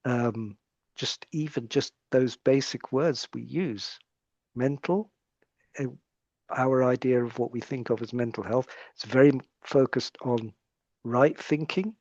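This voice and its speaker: A man's voice: medium pitch, a little slow, darkish tone, dry recording, highs cut off. A British man speaking as a psychiatrist guest in an interview conversation, explaining his points in a thoughtful way and often restarting his phrases.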